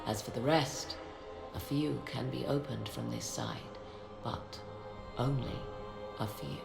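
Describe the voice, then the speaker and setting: A woman playing a poised, proud sorceress in a video game, speaking formally and enigmatically in a measured, haughty manner. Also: rumbling low, creaky, full character voice. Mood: measured, knowing. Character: poised, cryptic sorceress, proud keeper of ancient secrets. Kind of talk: dramatic video game dialogue. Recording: reverberant, with game music behind.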